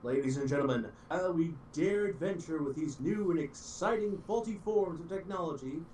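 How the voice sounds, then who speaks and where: A man's voice: a little high, animated, moderate pace, a little mellow, recorded from a distance, slight background noise, poor audio. A man co-hosting a casual chat, trading playful jokes with the host. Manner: joking, playful.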